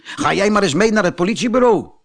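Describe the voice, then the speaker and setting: A man's voice: high-pitched, lively intonation, fast, pitched above the performer's own voice. A man doing brusque storybook policemen. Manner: brusque, commanding. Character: stern adult policemen.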